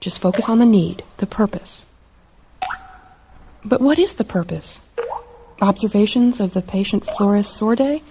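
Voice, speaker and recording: A woman's voice: mid-range voice, measured pace, long pauses, lightly acted. Woman acting anxious, dutiful doctor, muffled recording. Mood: troubled musing. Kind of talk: radio drama acting. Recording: muffled lo-fi audio with hiss.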